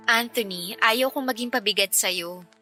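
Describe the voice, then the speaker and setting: A woman's voice: on the high side, unhurried, pushed and bright, faint music behind. A woman playing a self-sacrificing young working woman in a Tagalog radio drama, earnest, emotional and firm. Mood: sorrowful, firm.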